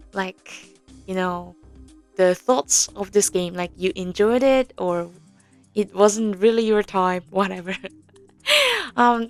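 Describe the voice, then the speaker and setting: A young woman talks slowly and unhurriedly, explaining a game in a casual walkthrough. She hesitates often, filling gaps with ums and small nervous laughs. Faint music sits behind her. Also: mid-range voice, smooth, no room sound. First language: Korean. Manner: hesitant, many fillers, soft nervous laughs. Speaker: audio game tutorial presenter.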